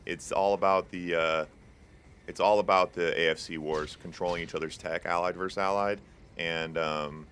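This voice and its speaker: A man's voice: sits low, a bit bright, a little hiss or hum. A young man hosting a tournament stream, giving casual play-by-play commentary on a strategy game with lots of fillers and asides to chat. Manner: casual and chatty, many fillers, jokes with chat. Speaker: tournament host and caster. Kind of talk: live esports play-by-play commentary.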